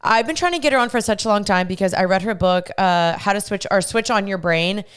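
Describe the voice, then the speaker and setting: Bubbly young woman with a smooth voice. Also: medium pitch, brisk. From United States. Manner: bubbly, chatty, uses lots of "like". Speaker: podcast host. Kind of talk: casual podcast chat and intro.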